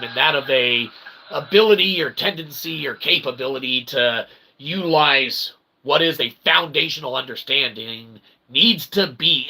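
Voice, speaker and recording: A man with a creaky, gravelly voice delivers rambling opinion commentary as a channel host. He is irreverent and slangy, stacking wordy, repetitive phrases and tagging his points with little check-in questions. Clear noise sits behind his voice. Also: a little high. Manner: punctuates points with "okay?" and "all right?".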